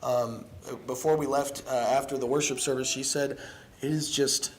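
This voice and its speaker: A hoarse young man giving an evening sermon in a church, earnest and halting, with many ums and restarts. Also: medium pitch, steady tone, slight background noise. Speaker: preacher. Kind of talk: evening sermon with casual asides. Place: church sanctuary.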